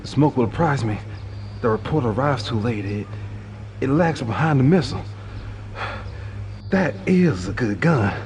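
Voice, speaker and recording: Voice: medium pitch, expressive, unhurried, long pauses, darkish tone, slight background noise, voice pushed up, close to an everyday voice. A man voicing a desperate hunted man thinking aloud, urgent and stammering. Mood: anxious, alert. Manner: urgent, stammering, rising with strain.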